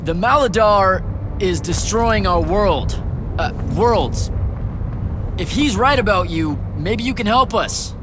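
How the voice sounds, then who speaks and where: Earnest, high-voiced, hot-headed young hero. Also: male voice, lively intonation, slow pace, background noise. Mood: halting, urgent. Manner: urgent, blunt, profane. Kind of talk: video game cinematic acting.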